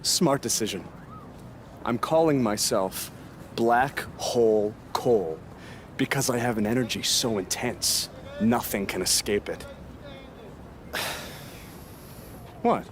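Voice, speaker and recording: An actor playing a cocky, mischievous young wrestler with a teasing, theatrical manner in a video game cutscene. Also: male voice, mid-range voice, slight background noise. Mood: smug, then theatrical, taunting. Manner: teasing, hammy.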